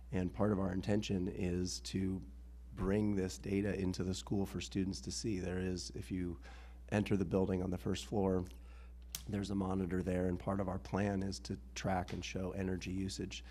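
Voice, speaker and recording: A man with a rough voice, an assistant head of school speaking into a microphone at a public hearing, explaining his case earnestly with the odd um. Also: deep voice, normal speed. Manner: earnest, steady explanation with filler ums. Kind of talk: public meeting testimony. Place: town board hearing room. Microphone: meeting microphone.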